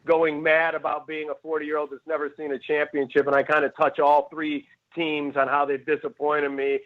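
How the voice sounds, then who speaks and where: A comedian in his forties from Cleveland, telling rambling, animated stories in a casual interview over a remote call. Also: fairly high pitch, normal speed. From Cleveland, Ohio. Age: 40s. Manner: rambling, animated storyteller who jumps between asides. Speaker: stand-up comedian guest. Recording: tinny phone-like call audio.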